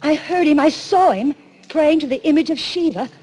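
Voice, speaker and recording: A woman's voice: high voice, moderate pace, dark tone, lightly acted. An actress playing a frightened, earnest young woman in a 1940s radio drama, with an urgent, melodramatic delivery. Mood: fearful, urgent. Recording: vintage 1940s radio recording.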